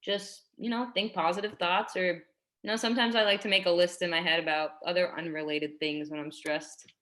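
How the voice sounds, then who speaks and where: A woman's voice: on the low side, fairly even intonation, a little fast, a bit bright, close to an everyday voice. A woman playing a supportive, slightly awkward schoolteacher in a staged reading, speaking in an earnest, conversational, hesitant way. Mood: friendly, reassuring. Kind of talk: staged reading over video call.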